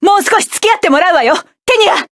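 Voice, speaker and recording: A woman voicing a fierce, commanding young mech pilot in a game, with forceful battle shouts and clipped commands. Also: extremely high pitch, expressive, quick pace, no echo, clean studio sound. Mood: cool. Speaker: voice actress. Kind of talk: game voice clips.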